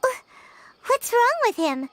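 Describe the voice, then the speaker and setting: A woman voicing a small, cheerful, excitable fairy girl companion with a childlike, exclamatory manner in a video game. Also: slow, noisy background, full character voice. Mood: alarmed. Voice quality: high-pitched, childlike. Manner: exclamatory, speaks of herself in third person. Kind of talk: video game character voice acting.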